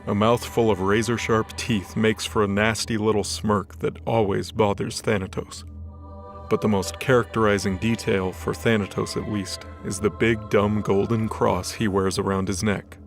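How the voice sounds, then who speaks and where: A man's voice: lowish voice, little pitch movement, normal speed. A man reading scripted fiction as the narrator of a story. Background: background music.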